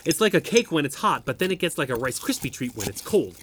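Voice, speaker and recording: An actress playing Stu's part as an anxious, earnest, naive friend in a comedic audio drama, with a dramatic delivery. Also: female voice, sits low, big pitch swings, fast talker, slight background noise. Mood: proud. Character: anxious, lovesick, earnest oddball friend named Stu.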